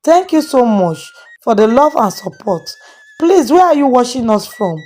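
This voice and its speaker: A woman's voice: fairly high pitch, sing-song, moderate pace, mellow. A woman storyteller hosting and dramatically narrating a moral tale. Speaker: storyteller and channel host.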